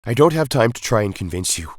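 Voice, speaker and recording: A man's voice: fairly low pitch, very animated, fast talker, dry recording, clean studio sound, pitched above the performer's own voice. A man voicing a grave, emphatic Time Lord hero in an audio story, urgent and commanding. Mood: urgent, resolute.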